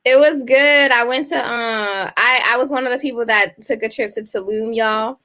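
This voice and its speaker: Animated woman in her thirties, co-hosting. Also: moderate pace. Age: 30s. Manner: animated storytelling, breaks into high exclaims. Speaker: co-host. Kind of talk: casual chat.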